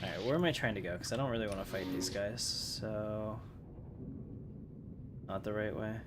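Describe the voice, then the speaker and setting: A man's voice: on the low side, normal speed. Casual male game streamer, noisy background. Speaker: game livestreamer. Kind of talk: casual chat while playing a game.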